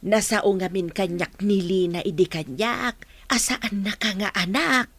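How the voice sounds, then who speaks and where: A woman's voice: medium pitch, unhurried, fairly bright tone, dry recording. An actress playing a chatty, deferential older village woman in a radio drama, with an Ilocano accent. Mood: curious.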